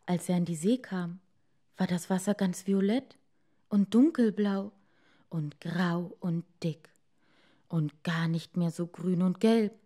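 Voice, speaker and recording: A woman tells a fairy tale in a soft, mellow voice at an unhurried pace. Her reading is expressive and deliberate, shaped for children listening to a story. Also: on the low side. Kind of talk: scripted fairy-tale narration.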